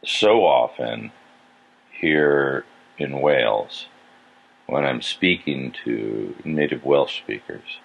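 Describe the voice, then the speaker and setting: A man's voice: extremely low pitch, lively intonation, extremely slow, vocal fry, breathy edge. A man from California, a pastor hosting a podcast, musing and thinking aloud in casual conversation. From California, USA. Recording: dull lo-fi sound.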